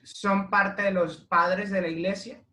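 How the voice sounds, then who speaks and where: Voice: medium pitch, steady tone, unhurried, sharp tone. Interviewer, polite formal questions, roomy echo. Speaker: interviewer host.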